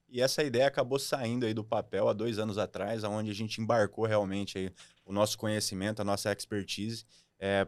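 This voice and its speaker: A man's voice: mid-range voice, dry recording. A man from Mato Grosso do Sul, an agronomist guest who lays out his career in a structured, businesslike way. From Mato Grosso do Sul, Brazil. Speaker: agronomist, company co-founder, guest. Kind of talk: casual podcast interview.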